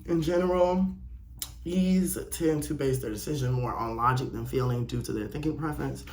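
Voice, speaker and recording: A man's voice: mid-range voice, normal speed, a little mellow. Young man, blunt casual commentary. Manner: blunt, opinionated, conversational with slang. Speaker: host of a personal video channel. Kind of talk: casual solo commentary, reading aloud and reacting.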